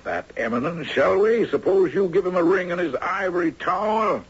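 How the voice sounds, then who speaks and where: Shrewd old judge, lively intonation. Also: male voice, high-pitched, moderate pace, slightly rough, full character voice. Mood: dry, firm. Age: older. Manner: dry, wry, formally articulate. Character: shrewd, courtly retired judge in his late seventies. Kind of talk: 1970s American radio drama acting. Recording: dull, noisy 1970s radio recording.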